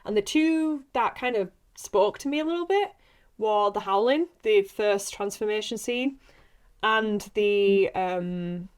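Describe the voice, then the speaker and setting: A woman's voice: mid-range voice, measured pace, clear, resonant tone. A young woman, an actress, chatting casually on a podcast in a bubbly, giggly, informal way. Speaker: actress being interviewed.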